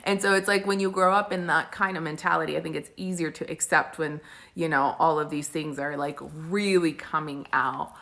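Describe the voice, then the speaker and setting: A woman's voice: sits low, steady tone, moderate pace, fairly bright tone. A woman in her forties from Italy, a spiritual coach talking casually to live stream viewers with many fillers. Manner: casual, chatty, many fillers and frequent laughs.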